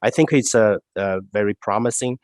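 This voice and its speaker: A man researcher answering questions after his talk, his voice nasal from a cold, halting with many fillers. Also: on the low side, a little mellow, dry recording. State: congested, nasal voice. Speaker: research scientist presenting.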